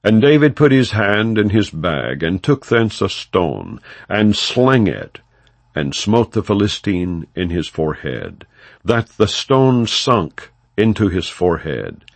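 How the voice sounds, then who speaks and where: A man with a low voice narrates scripture for an audiobook. His delivery is solemn and emphatic, with a wide, animated rise and fall, in a very dry recording. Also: slow, vocal fry, dry recording. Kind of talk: scripted scripture narration, non-dramatized reading.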